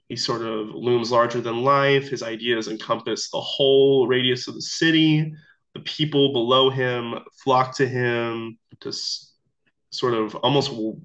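Young man, under the weather, halting casual presenter, muffled webcam sound. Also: mid-range voice, a bit bright. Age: young adult. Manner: casual, halting, many ums and restarts. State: sick, voice a little off. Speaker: student teacher presenting an activity. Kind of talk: recorded slideshow walkthrough. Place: small room indoors. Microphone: laptop webcam mic. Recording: dull lo-fi video call audio.

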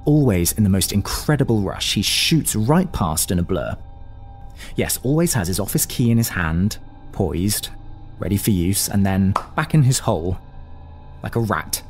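An actor playing an anxious, indignant, paranoid businessman in an audio drama, halting and often interrupting himself. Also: on the low side, animated, darkish tone, soft background music. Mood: bitter, suspicious. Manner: halting, muttering, self-interrupting. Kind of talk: audio drama monologue and phone dialogue.

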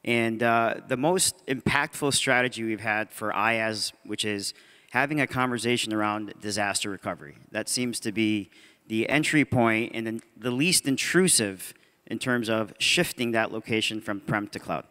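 A man's voice: fairly low pitch, moderate pace, bright, forceful tone, smooth. A man in his thirties answering on a conference panel, confident and practical, mic held close. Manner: confident, practical, some repeats. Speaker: panelist, cloud business owner. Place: large conference hall stage. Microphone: handheld mic held close. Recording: long hall reverb.

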